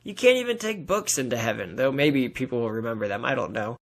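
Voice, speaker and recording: A man with a medium-pitched voice talks as a podcast guest. He is earnest and exhorting, explaining his points in a conversational way with illustrations. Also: expressive, quick pace. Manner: earnest exhortation, talks in analogies and imagined dialogue. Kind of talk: earnest testimony in a podcast interview.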